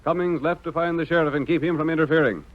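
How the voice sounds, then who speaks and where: A man's voice: fairly high pitch, steady tone, quick pace, lightly acted. A man acting as the formal, theatrical narrator of an old-time western radio adventure, in scripted dramatic style. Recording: dull vintage radio recording.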